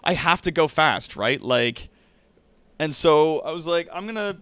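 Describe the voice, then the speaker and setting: A man's voice: on the high side, expressive, a little slow, fairly bright tone, dry recording. A man hosting a livestream, chatting casually and directly to viewers with slangy, profane language. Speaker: livestream host and content creator. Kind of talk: casual stream chat.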